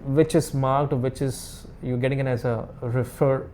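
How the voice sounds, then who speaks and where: A man speaking as an expert guest in a casual interview, explaining in halting, repetitive, non-native English phrasing. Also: medium pitch, steady tone, darkish tone, a little hiss or hum.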